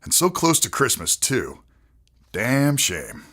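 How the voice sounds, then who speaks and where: A man's voice: medium pitch, huge pitch swings, slow, fairly bright tone, breathy edge, exaggerated voice. A man playing a wry, laconic, world-weary police detective in an audio drama.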